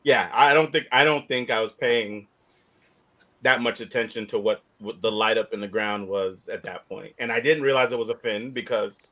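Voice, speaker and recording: A man co-hosting a casual chat over a video call, animated and incredulous, often cutting himself off. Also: lowish voice, normal speed. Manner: animated, incredulous, self-interrupting. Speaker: podcast co-host.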